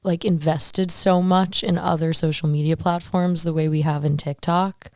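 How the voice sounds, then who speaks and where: A twenty-two-year-old woman hosting a casual podcast, her fairly low voice edged with vocal fry. She talks candidly and playfully. The sound is close and dry, from a studio boom mic. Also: little pitch movement, darkish tone, no room sound. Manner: candid, playful, quick to laugh. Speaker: podcast host. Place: small decorated podcast studio. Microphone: studio boom mic with headphones.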